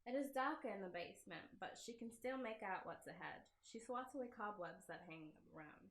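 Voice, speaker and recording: A woman's voice: medium pitch, expressive, a little fast, echoey room. A woman doing a casual, giggly let's-play of a visual novel, switching between informal self-deprecating asides and reading the story text aloud. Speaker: game creator playing through own visual novel.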